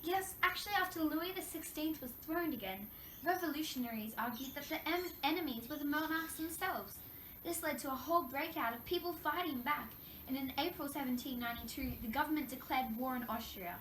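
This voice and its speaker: A woman's voice: high-pitched, brisk, fairly bright tone. A woman playing a wronged young French queen in a scripted interview role play, recounting her troubles in a formal, recited way. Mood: troubled, earnest. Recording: echoey room, distant mic, faint noise.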